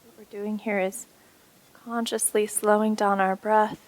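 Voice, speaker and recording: A woman instructor leading a guided meditation in a soothing, unhurried way with pauses. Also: medium pitch, level tone, poor audio.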